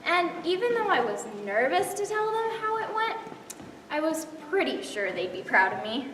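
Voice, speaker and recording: A woman's voice: very high voice, big pitch swings, moderate pace, fairly bright tone, exaggerated voice. A young woman on stage playing a flustered teen girl babysitter, narrating her evening in an earnest, reflective way. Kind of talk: amateur stage play. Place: large hall stage. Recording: echoey hall from far off, background hiss.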